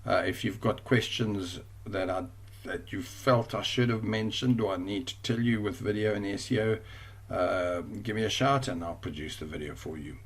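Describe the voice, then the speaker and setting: A man's voice: fairly low pitch, normal speed, slightly rough. A man with a South African accent teaching an online course over a screen share, rambling and often correcting himself. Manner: rambling, self-correcting, chatty.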